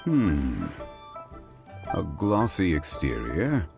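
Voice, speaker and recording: An actor playing a composed, learned gentleman consultant in a game, with a measured, formal and erudite delivery. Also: low voice, huge pitch swings, vocal fry, over music, full character voice. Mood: pondering. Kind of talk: scripted game character dialogue.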